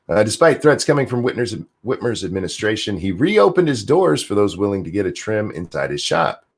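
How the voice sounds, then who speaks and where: A man hosting a podcast, chatting casually and reading news articles aloud with a jokey, animated delivery. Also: on the low side. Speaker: podcast host.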